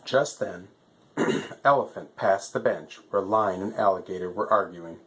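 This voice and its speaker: A man's voice: sits low, a little slow, fairly bright tone, slight background noise, poor audio. A man narrating a children's story as a storyteller.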